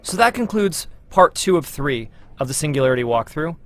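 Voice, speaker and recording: A man's voice: big pitch swings, brisk, fairly bright tone. A man presenting a game walkthrough as its producer, in scripted demo narration. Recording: noisy low-quality promotional video audio.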